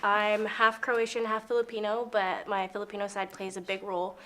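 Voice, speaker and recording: A woman's voice: mid-range voice, level tone, a little fast, pushed and bright. A young woman giving interview answers in an explanatory, slightly hesitant way.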